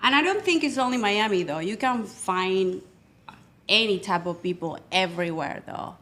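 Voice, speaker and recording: A woman's voice: mid-range voice, animated, pushed and bright. Argentine-accented woman, polite and earnest. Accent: Argentine Spanish. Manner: polite, earnest, slightly halting English. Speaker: fitness coach, guest. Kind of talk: casual podcast interview.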